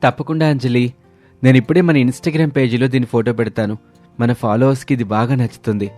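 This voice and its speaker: A man's voice: medium pitch, fairly even intonation, a little fast, darkish tone, soft background music, lightly acted. A man voicing a supportive, enterprising adult brother in a moral story. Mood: eager. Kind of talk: moral story character acting.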